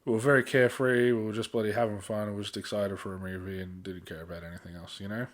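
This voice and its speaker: A young adult man with an Australian accent and an ordinary mid-pitched voice. He talks like a podcast co-host among friends, loose and slangy, wandering through his thoughts in a laid-back way. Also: little pitch movement, fast talker. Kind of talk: casual chat.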